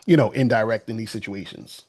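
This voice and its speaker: A man's voice: on the low side, brisk, darkish tone. A man and dating coach explaining his view in an online debate, often checking that he is understood. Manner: explanatory, keeps checking "you see what I'm saying".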